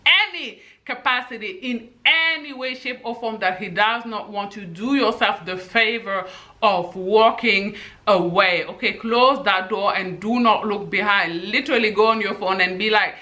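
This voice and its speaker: A woman in her thirties with a husky voice and a delivery, giving emphatic dating advice straight to camera and ending her points with "okay?". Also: medium pitch, normal speed, bright, forceful tone. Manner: emphatic, repeats "okay?" to drive points home. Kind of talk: direct-to-camera advice monologue.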